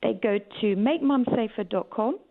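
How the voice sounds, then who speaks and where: A woman's voice: on the high side, moderate pace, phone-quality sound. A woman speaking as a campaigning guest in a radio interview, stressing key words emphatically. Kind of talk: radio interview, advocacy talk.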